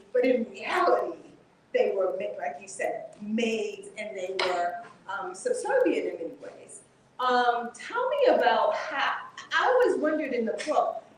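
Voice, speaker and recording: A woman from Harlem with a loud voice, asking the authors a question from the audience at a bookshop event. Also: fairly high pitch, a little hiss or hum. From Harlem, New York. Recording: echoey room from far off.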